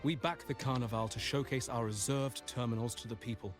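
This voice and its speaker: A man's voice: mid-range voice, fairly even intonation, fairly quick, close to an everyday voice. An actor playing a polite, earnest businessman of a wealthy merchant family in a video game, speaking formally and explaining carefully. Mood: explanatory, proud. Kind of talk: scripted video game dialogue. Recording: game music behind the voice. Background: video game music.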